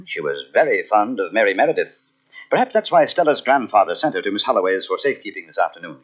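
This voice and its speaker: An actor playing a measured, knowing doctor in an old-time radio mystery, speaking formally and deliberately with a husky voice. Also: male voice, on the low side, sing-song. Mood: grave, insinuating. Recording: muffled old radio cassette transfer.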